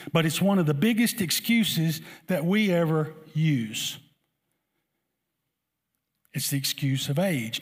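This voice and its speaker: A man preaching to a congregation in a conversational way, repeating his points and putting questions to his listeners. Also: a little high. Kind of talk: sermon to a congregation.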